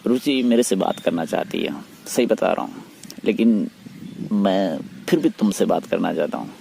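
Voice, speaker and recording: A man speaking in a private phone conversation, pleading and persuading in a halting, repetitive way. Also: low-pitched, animated, vocal fry, mellow. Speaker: teacher. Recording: noisy phone call recording.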